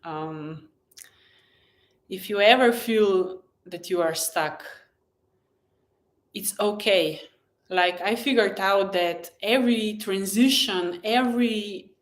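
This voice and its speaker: Slow-paced Slovenian woman chatting on live stream. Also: mid-range voice. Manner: hesitant, pausing often mid-thought; gentle, effusive, encouraging. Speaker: live stream host and blogger. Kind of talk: casual live stream chat to viewers.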